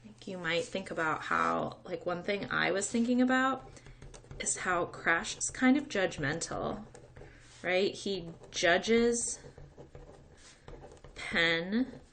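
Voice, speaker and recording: A woman's voice: on the low side, animated, slow, pauses often, fairly bright tone, slight background noise. A woman teacher talking casually to young students, guiding them through a read-along book lesson. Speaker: teacher reading aloud.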